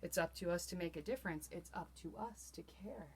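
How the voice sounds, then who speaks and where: Storybook court jester, played by a woman: earnest, hopeful, troubled but determined, expressive rhyming delivery. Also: on the low side, fairly quick, slightly rough.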